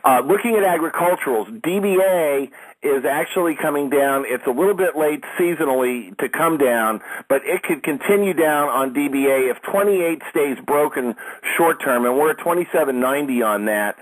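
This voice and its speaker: A man speaking off the cuff as a market analyst hosting a call, in a measured, matter-of-fact advisory way. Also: a little high, animated, low-quality recording. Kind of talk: unscripted market commentary.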